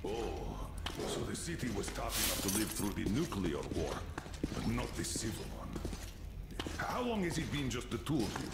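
A man playing a gruff, commanding middle-aged colonel of a special task force in a video game. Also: fairly low pitch, huge pitch swings, moderate pace, heavily acted. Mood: curious, sober. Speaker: military commander. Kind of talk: video game character acting. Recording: echoey game scene with loud noise.

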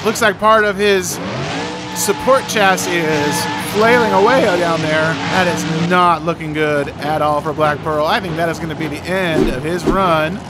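Guy doing slow paced monster truck game commentary, cheery announcer style, loud music and engines behind him. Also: a little high. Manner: exclamatory, cheering announcer delivery. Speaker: video host and event announcer.

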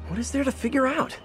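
A young man playing an earnest, awkward superhero in a video game, often hesitant and muttering to himself. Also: very high-pitched. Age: young adult. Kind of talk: naturalistic video game acting.

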